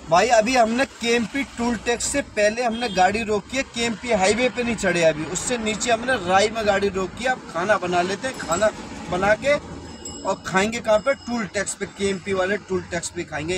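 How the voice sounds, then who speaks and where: A man's voice: high voice, moderate pace. A man in his thirties, a truck driver, chatting casually to viewers through a phone camera held at arm's length while on the road. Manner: chatty, keeps saying "brother" and "friends" to viewers. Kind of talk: casual travel vlog narration. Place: outdoors by trucks on roads and highway stops. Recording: noisy outdoor phone recording.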